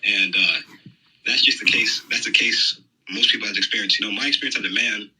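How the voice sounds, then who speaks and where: Quick, husky-voiced young male caller. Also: fairly low pitch, bright, forceful tone, rough recording. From United States. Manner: hesitant, many "you know" and "um" fillers. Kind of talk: phone-in conversation.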